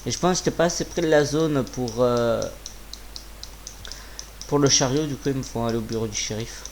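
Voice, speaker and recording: Slightly hoarse man doing gameplay commentary. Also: medium pitch, normal speed, slight background noise. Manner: informal, muttering to himself, swearing. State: sore throat with a cough. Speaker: gaming video creator. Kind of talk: casual live gameplay commentary.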